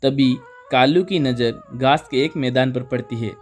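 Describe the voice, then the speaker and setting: A man's voice: mid-range voice, a little slow. A man narrating a scripted children's moral story. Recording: music under the voice. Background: background music.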